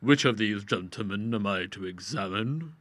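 A man's voice: fairly low pitch, normal speed, a bit bright, no echo. A man voicing a fat, greasy quack doctor who speaks fussily and tut-tuts with mock concern. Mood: fussy. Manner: fussy, tut-tutting and ominous.

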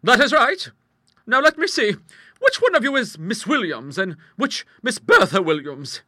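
A man voicing a brisk, outspoken middle-aged English aunt in a storybook, hearty, emphatic and used to commanding. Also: extremely high pitch, big pitch swings, pushed and bright, no echo, clean studio sound, voice pushed up, heavily acted. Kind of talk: storybook character voice in an audiobook.

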